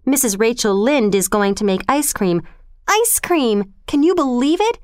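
Woman voicing a high, gushing, excitable young girl. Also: normal speed, no echo, studio quality, close to an everyday voice. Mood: excited. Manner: breathless, gushing, dramatic. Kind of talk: storybook character voice.

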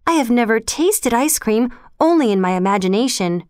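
A woman voicing an earnest, excitable, dreamy young girl in a storybook, gushing and breathless. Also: a little high, normal speed, clear, resonant tone, dry recording, studio quality, close to an everyday voice. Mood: excited. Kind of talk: storybook character voice.

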